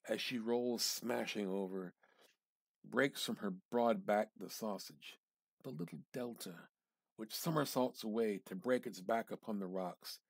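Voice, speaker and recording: Low, husky man reading slowly and dramatically. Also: dry recording. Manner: deliberate, intense, halting with dramatic pauses. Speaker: amateur reader. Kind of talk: dramatic reading of literary fiction.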